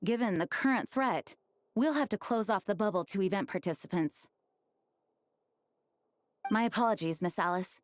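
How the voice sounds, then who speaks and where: A woman's voice: medium pitch, normal speed, long pauses, no room sound, studio quality, lightly acted. A woman voicing an earnest, dutiful female knight commander who speaks in a formal, composed way in video game dialogue. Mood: resolute.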